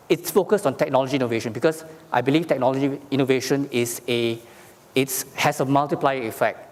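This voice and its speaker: A man from Singapore gives a conference talk in a mid-range voice, explaining his points step by step. He leans on fillers and tag questions as he goes. His voice carries a long reverb from a large hall. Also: medium pitch. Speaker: conference speaker.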